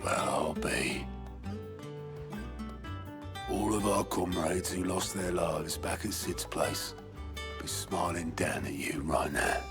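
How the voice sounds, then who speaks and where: An actor playing a gruff, fatherly middle-aged man in a video game, with a gravelly voice. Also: sits low, takes it slowly, pauses often, over music. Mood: relieved, moved. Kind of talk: video game cutscene acting.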